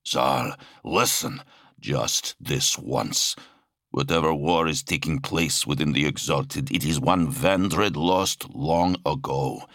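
A man plays a brooding warrior brother in an audiobook, grave and earnest. The voice is very low and gravelly, soft in delivery. He takes his time over each measured phrase. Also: steady tone, no room sound, clean studio sound, heavily acted. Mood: earnest, pleading. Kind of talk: dramatic audiobook character voice.

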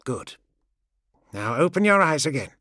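A man performs an imperious, sardonic commander for an audiobook. He is calm, wry and pompous, measuring out archaic phrases with a lively melodic range. The recording is very dry. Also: fairly high pitch, measured pace, no echo, heavily acted.

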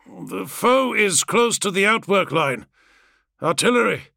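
Guy doing a dazed soldier in armour for an audiobook, loud urgent military declaiming, high pitched, dry sound. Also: lively intonation, a little slow, gravelly, no room sound, full character voice. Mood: urgent, commanding. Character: dazed armoured warrior, blurting old battle warnings.

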